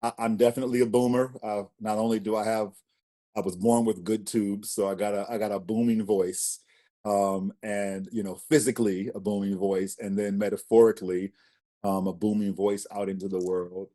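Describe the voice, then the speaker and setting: A man in his forties, a spoken word poet, talking casually as an interview guest and telling stories with emphatic rises. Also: lowish voice. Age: 40s. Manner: expressive storyteller, emphatic rises.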